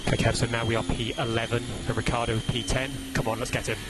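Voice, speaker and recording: A man's voice: medium pitch, level tone, fast. A man working as a race engineer on team radio, giving clipped, repeated instructions and updates and urging his driver on.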